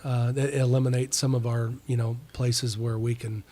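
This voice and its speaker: A man's voice: mid-range voice, flat delivery, moderate pace, warm tone. A middle-aged man with a stuffy, clogged-up voice from a recent illness, co-hosting a podcast. He talks in loose, rambling stretches, breaking off and starting again, and his phrasing is folksy and full of slang. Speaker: podcast co-host. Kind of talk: casual chat.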